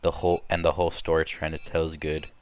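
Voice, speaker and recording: Low-voiced raspy young man rambling. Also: monotone, quick pace. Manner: rambling, chatty, many restarts and fillers. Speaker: gameplay commentator. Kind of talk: casual let's-play chat.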